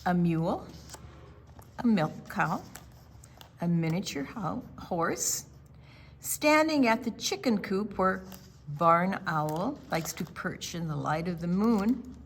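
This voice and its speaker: A woman reading a picture book aloud to young children in a deliberate, storytelling way, with asides to her listeners. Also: fairly low pitch, animated, a little hiss or hum. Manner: slow, deliberate phrasing with questions and asides to the listeners. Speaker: library storytime reader. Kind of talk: expressive read-aloud narration for young children.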